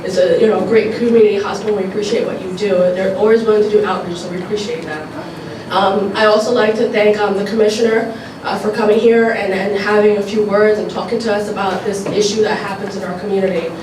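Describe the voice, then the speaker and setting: A woman's voice: medium pitch, fairly even intonation, quick pace. A woman legislator with a stuffy nose, giving casual remarks at a community forum, with many fillers. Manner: informal, filler-heavy, repeats phrases. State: stuffy from allergies. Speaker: assemblywoman. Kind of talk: public forum speech. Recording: echoey hall, distant mic, noisy.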